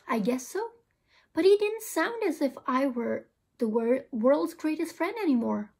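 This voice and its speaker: High-pitched young woman reading a story aloud. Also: unhurried, creaky. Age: young adult. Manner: expressive, acts out dialogue. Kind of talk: children's story read-aloud. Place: small lamp-lit room indoors.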